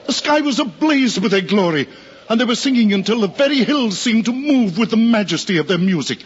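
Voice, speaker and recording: Awestruck shepherd, high swooping voice. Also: male voice, animated, brisk, slightly rough. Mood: reverent, exalted. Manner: solemn, declamatory storytelling. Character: awestruck, reverent shepherd recounting a vision. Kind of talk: 1950s radio drama acting. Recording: muffled, noisy old radio recording.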